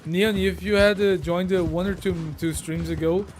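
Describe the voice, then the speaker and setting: A man from Brazil talks through a game livestream in a friendly, rambling way, full of "uh"s. His voice sits a bit high for a man. Game music plays clearly behind him. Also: fairly even intonation, moderate pace. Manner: friendly, rambling, many "uh" fillers. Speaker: live streamer. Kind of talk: casual gameplay livestream chat. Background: game music and horse sounds.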